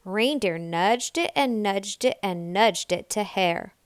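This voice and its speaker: Slow, clear woman reading a children's story. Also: medium pitch, no echo, clean studio sound. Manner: deliberate, expressive storytelling. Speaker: storybook reader. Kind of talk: children's read-aloud, scripted narration.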